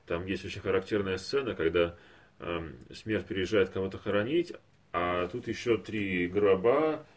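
A man's voice: deep voice, measured pace. A man co-hosting a podcast in casual discussion, hesitant and searching for words as he talks. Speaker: podcast co-host. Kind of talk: casual discussion of animated films.

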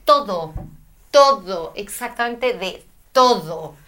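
A middle-aged woman from Spain, telling her story as an interview guest with an animated, spiritual way of talking. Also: mid-range voice, takes it slowly. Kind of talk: conversational interview.

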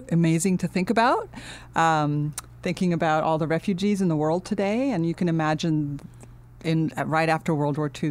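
A middle-aged woman from Finland, a guest in an interview, speaking earnestly and thoughtfully with many pauses and restarts. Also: sits low, a little mellow. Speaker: guest, architecture dean. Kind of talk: casual interview talk.

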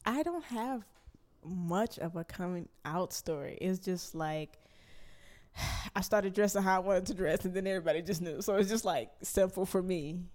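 A chatty woman with a stuffy, slightly sick sound tells stories as an interview guest. Her pitch sits a bit low, and her speech is loose and slangy. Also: normal speed, a little mellow. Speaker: guest, film director. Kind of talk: casual interview chat.

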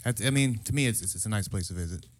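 A man's voice: on the low side, racing, a little hiss or hum. A young man hosting a casual podcast, laid-back, laughing often and swearing casually. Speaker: podcast host. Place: small studio bar set.

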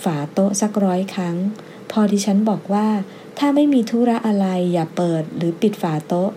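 Woman acting storybook schoolteacher, exasperated, emphatic, formal, very mellow timbre, faint noise. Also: medium pitch, moderate pace, lightly acted. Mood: exasperated complaining. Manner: emphatic, complaining, formally polite. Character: exasperated, indignant female primary school teacher.

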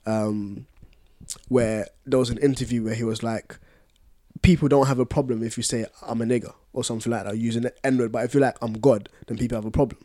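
A man chatting casually on a podcast, explaining his point in a deliberate way. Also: medium pitch, fairly even intonation, warm tone, no room sound, clean studio sound. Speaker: podcast panellist. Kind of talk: casual discussion among friends.

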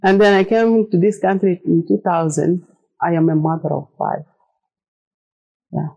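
A woman's voice: on the low side, steady tone, a little slow, gravelly, dark tone, breathy edge, dull audio. A middle-aged woman from South Sudan, a native Arabic and Dinka speaker with a South Sudanese accent, gives a personal presentation in earnest, plainly worded non-native English. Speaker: speaker telling her own story.

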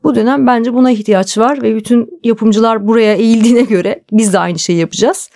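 A woman's voice: fairly high pitch, fairly quick, mellow. A woman speaking as an interview guest, explaining her thoughts in a conversational, reflective style. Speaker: interview guest, television drama producer.

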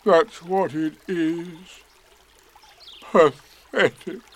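A man's voice: on the high side, fairly even intonation, pitched above the performer's own voice, heavily acted. A man voicing a gloomy, self-pitying old grey donkey from a children's storybook, drawing out words with mournful sarcasm. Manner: slow, drawn-out, mournful and sarcastic delivery.

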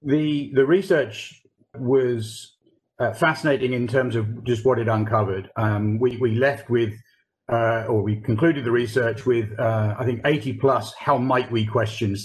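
A man's voice: mid-range voice, low-quality recording. Hesitant man explaining with many fillers. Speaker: corporate real estate research lead. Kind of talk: conversational podcast explanation.

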